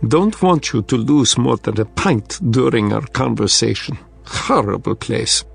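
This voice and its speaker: A man's voice: mid-range voice, animated, a little slow, gravelly, slightly rough, faint music behind, full character voice. A man voicing a sly, blunt Russian strategist with a thick Russian accent and clipped, article-dropping speech. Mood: matter-of-fact. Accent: thick Russian accent, put on.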